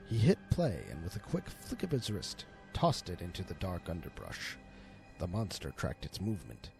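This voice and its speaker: A man narrating a horror story in a theatrical, deliberate manner, as an amateur storyteller and voice actor. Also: sits low, wildly swooping pitch, creaky, a little mellow, faint music behind. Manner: theatrical, deliberate, swooping delivery.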